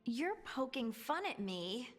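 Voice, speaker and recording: An absorbed, wondering dwarf woman scholar for a fantasy game. Her speech is slow, breathy and halting, carried in a long reverb with music underneath. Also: on the high side, very animated, full character voice. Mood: distracted. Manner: halting, musing, trails off. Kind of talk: video game dialogue acting.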